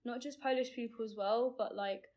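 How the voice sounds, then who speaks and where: A woman's voice: on the high side, steady tone, moderate pace, a little mellow. A teenage girl speaking casually as a guest, with frequent fillers. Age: teens. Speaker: podcast guest.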